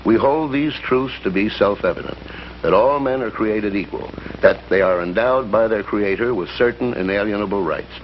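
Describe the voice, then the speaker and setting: A man with a lowish voice recites in steady, measured tones and then turns drily humorous. The sound is dull and muffled like an old film soundtrack, with a faint hiss. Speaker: friend reciting a text from memory. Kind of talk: scripted 1950s film dialogue.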